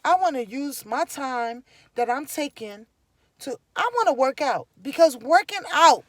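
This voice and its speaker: Liberian-accented woman vlogger, high voice, dry sound, emphatic and preachy. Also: lively intonation, measured pace, a bit bright, dry recording. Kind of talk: casual personal storytelling to camera.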